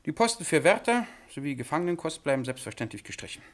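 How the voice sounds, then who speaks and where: A man's voice: on the high side, sing-song, quick pace. An actor playing a pompous, peremptory male ruler of a tiny principality, speaking in formal declamation in a satirical radio drama. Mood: grudging. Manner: formal, declamatory speech to his ministers.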